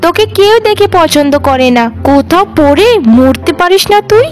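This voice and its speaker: Woman acting a high, scolding cruel village sister-in-law. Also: expressive, unhurried. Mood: furious. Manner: sharp-tongued scolding, taunting. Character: cruel, scornful young sister-in-law, a nagging village wife. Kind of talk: animated cartoon drama voice. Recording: music under the voice.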